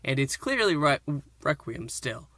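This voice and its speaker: Bright-voiced man reviewing audio drama. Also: mid-range voice, sing-song, measured pace, no room sound, studio quality. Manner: earnest, enthusiastic fan talk. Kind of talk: casual episode recap and review to listeners.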